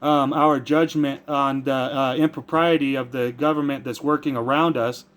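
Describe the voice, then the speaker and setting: An American man speaking as a caller with a complaint, earnest and rambling, halting as he searches for formal words and often repeats himself. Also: mid-range voice, steady tone, a bit bright. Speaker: caller making a complaint to a public agency.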